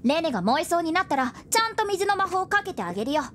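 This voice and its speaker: A woman's voice: very high-pitched, sing-song, fast, a little hiss or hum, exaggerated voice. A woman playing an impulsive young boy mage in an audio drama, with a childlike, emotional delivery. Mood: pouty, cheeky. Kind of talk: Japanese audio drama acting. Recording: loud music over voice.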